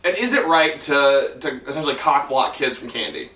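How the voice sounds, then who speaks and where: A man's voice: mid-range voice, animated, moderate pace, sharp tone, reverberant, far from the mic. A man hosting a casual podcast, teasing his guests with crude jokes. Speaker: podcast host. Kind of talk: casual chat.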